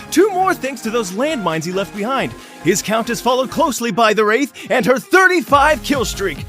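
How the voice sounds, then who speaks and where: A man's voice: sits high, a bit bright. An actor playing a flamboyant, gleeful game show host, theatrical and gushing as he commentates over music. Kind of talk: showy live sports-style commentary. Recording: music under the voice.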